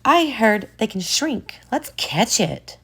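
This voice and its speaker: A woman doing excited little zoo kids. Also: a little high, takes it slowly, noisy background, pitched above the performer's own voice, exaggerated voice. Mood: eager. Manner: eager, wondering. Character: excited small children at a zoo, boy and girl.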